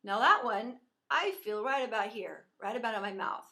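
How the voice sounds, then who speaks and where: A woman voice teacher giving an encouraging singing lesson, explaining pitch and resonance as she demonstrates. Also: lively intonation, moderate pace, pushed and bright.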